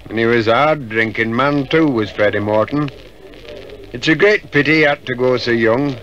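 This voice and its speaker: An actor playing a chatty, folksy Yorkshire village publican in a 1950s radio drama. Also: male voice, medium pitch, normal speed, a bit bright, a little hiss or hum, lightly acted. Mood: gossipy. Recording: dull, band-limited 1950s radio recording.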